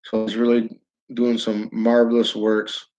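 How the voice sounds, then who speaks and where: A man's voice: lowish voice, monotone, measured pace, darkish tone, rough recording. A man with a northern New York accent hosting a casual livestream, rambling, restarting sentences and talking to viewers by name. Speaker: livestream host. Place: his downtown building.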